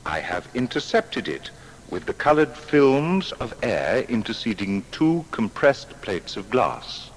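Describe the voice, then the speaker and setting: An actor playing a proud, exacting seventeenth-century English natural philosopher, reading his papers in formal, deliberate diction. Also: mid-range voice, sing-song. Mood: measured, absorbed. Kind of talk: dramatised reading of period letters and papers. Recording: long hall reverb, faint background noise.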